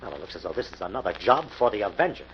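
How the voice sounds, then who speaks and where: An actor playing an alert, resolute crime-fighter in a 1940s radio mystery, earnest in manner. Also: male voice, low voice, sing-song, racing, over loud music. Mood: curious, musing. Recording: noisy muffled old radio recording.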